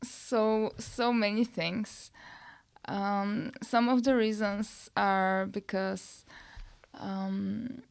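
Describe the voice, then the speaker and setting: A woman, answering casually as an interview guest in measured, hesitant phrases. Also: medium pitch, little pitch movement, vocal fry, smooth. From Bulgaria. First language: Bulgarian. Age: 30s. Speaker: podcast guest, nutritionist and online coach.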